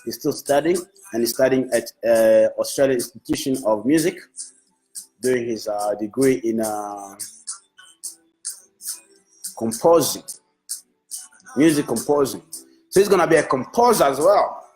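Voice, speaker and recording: A man's voice: medium pitch, unhurried, pauses often, a little mellow, rough recording. A man whose first language is Swahili, a music manager talking on a Facebook live, enthusiastic and repetitive, restarting his phrases as he praises an artist. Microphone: phone.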